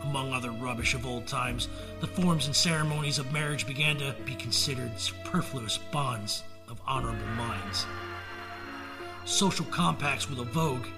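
Male storyteller, medium pitch, measured dramatic gothic reading with a clear music score. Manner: measured, theatrical. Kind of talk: dramatic narration of a gothic tale.

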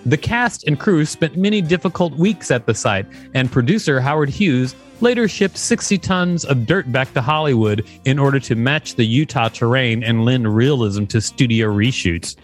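A man's voice: medium pitch, lively intonation, moderate pace, few pauses, faint music behind. A man co-hosting a podcast, reading an article aloud and joking between passages. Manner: joking, laughs often. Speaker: podcast co-host. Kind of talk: reading aloud and casual chat.

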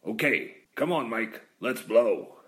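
A man's voice: medium pitch, expressive, slow, breathy edge. A man playing an easygoing, slangy press photographer with clipped phrases in a 1940s-style radio drama. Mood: resigned. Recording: noisy, camera clicking behind.

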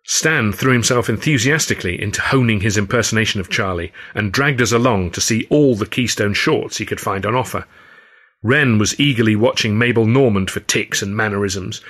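A man's voice: fairly low pitch, fairly bright tone. A man narrating his own first-person novel as an audiobook.

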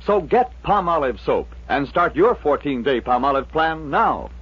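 A man's voice: on the high side, animated, normal speed, breathy edge. An American man reading a commercial in 1940s radio advertising style. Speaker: commercial announcer. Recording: dull old radio recording.

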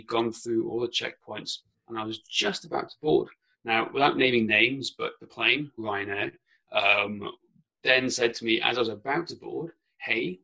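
A Welsh man, Welsh his first tongue, talks casually as a podcast guest from a spare room at home. His voice is bright and forceful with a gravelly fry. He restarts his words often in a chatty, easy way. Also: mid-range voice, rough recording. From Wales. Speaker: podcast guest, company founder. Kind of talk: casual interview chat.

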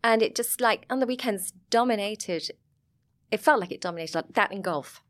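A woman's voice: mid-range voice, moderate pace, a bit bright. A middle-aged woman with a Sussex English accent, a guest chatting frankly and lightly, laughing often. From England. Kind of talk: casual interview chat.

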